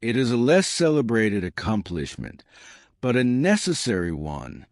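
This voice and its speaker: Man voicing a composed, dry-witted young gentlewoman. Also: medium pitch, big pitch swings, no echo. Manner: unhurried, matter-of-fact, dry. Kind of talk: audiobook character dialogue.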